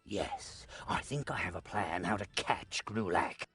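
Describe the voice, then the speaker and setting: A scheming fantasy-game commander with a low, husky voice. He speaks with measured authority and expressive swings in pitch, over faint music. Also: dry recording, heavily acted. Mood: resolute scheming. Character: shrewd, commanding fantasy war leader.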